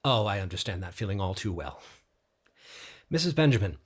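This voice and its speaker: An actor playing a troubled, bookish, melancholy man in an audio drama, speaking and narrating in a halting, introspective way. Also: lowish voice, sing-song, slightly rough, no room sound. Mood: gentle, friendly. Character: troubled, bookish, melancholy man revisiting childhood holiday guesthouse.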